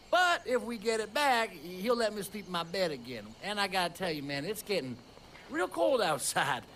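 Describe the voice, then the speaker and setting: An actor playing a dim, goofy young redneck in a video game, rambling in slang. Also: male voice, high voice, sharp tone, slight background noise. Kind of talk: animated video game cutscene acting.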